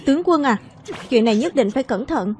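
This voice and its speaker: A woman reading all the characters' dialogue in a dub of a children's animated fantasy. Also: sits high, a little fast. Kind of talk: dubbed narration of a children's animated fantasy, voicing every character. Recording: music playing behind the voice. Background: music.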